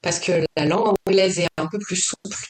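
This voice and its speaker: A woman poet in relaxed conversation, with a slightly low voice. She thinks out loud, hedging and restarting her sentences. The recording carries a noticeable room echo. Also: brisk. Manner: thinks aloud, hesitant, many restarts and fillers. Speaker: poet and author, podcast guest. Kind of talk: casual conversation.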